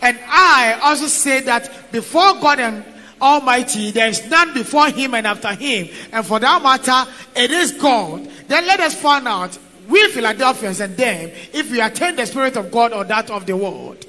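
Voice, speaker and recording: High-voiced man interpreting a sermon. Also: moderate pace. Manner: steady, declarative translating. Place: large church hall, indoors. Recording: long hall reverb.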